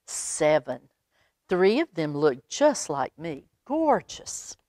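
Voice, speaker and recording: A woman's voice: sits low, sing-song, takes it slowly, warm tone, dry recording, clean studio sound, heavily acted. A woman voicing a proud, aloof mother cat in a storybook, smug and firmly protective of her kittens. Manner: smug, firm, politely warning.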